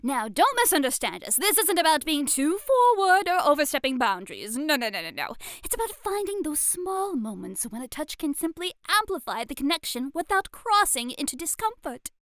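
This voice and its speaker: A woman's voice: high-pitched, big pitch swings, normal speed, hardly any pauses, fairly bright tone, no room sound, clean studio sound. A woman playing a playful, eccentric, elegant flirtation tutor in an ASMR roleplay, with coy, theatrical, grandly formal phrasing. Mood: coy, delighted.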